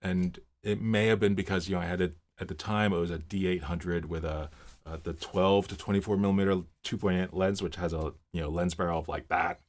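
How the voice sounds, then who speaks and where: A man's voice: sits low, steady tone, a little fast. A man in his fifties, originally from Miami, hosting a casual livestream and chatting through viewer comments with rambling stories and many fillers. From Miami, Florida. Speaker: livestream host. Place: home office.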